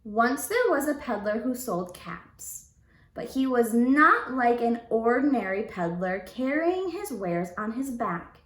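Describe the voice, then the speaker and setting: A woman teacher reading a storybook aloud to young children in an expressive, deliberate way. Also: a little high, echoey room. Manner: deliberate, expressive, prompting listeners with questions.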